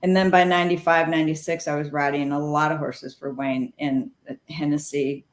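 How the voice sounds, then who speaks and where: A middle-aged woman, a former jockey, telling lively stories as an interview guest and acting out the dialogue. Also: deep voice, normal speed, roomy sound. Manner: animated anecdotes with quoted dialogue. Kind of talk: storytelling interview.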